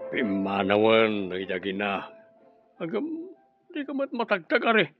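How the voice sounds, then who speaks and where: A man's voice: lowish voice, big pitch swings, a little slow, narrow, tinny audio, soft background music. An Ilocano-accented man playing an easygoing family man in a radio drama. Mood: exasperated.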